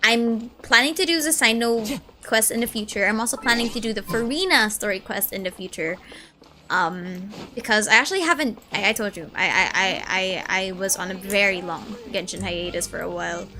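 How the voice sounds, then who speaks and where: A woman in her early twenties chatting casually on a gaming stream, excitable and gushing, rambling as she goes. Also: mid-range voice, a little fast, a little hiss or hum. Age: early 20s. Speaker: streamer and video essay creator. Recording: music behind the voice. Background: game music.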